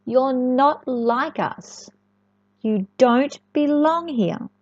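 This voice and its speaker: A woman voicing a mean, bossy goose or hen from a children's storybook, scolding in blunt, drawn-out commands. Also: high-pitched, animated, a little mellow, exaggerated voice. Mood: scornful, bossy. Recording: echoey room, dull lo-fi sound.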